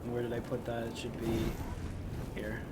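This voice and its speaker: A man's voice: medium pitch, completely flat delivery, normal speed, heavy background noise. A man doing casual, joking, rambling live commentary while playing a video game. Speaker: game streamer. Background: video game music.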